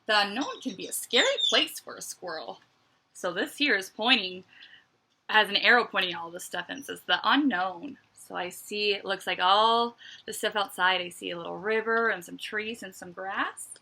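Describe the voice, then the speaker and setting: A woman reading a picture book aloud to children like a teacher, with expressive emphasis and chatty asides. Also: mid-range voice, moderate pace, creaky, poor audio. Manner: expressive, dramatic emphasis, conversational asides to young listeners.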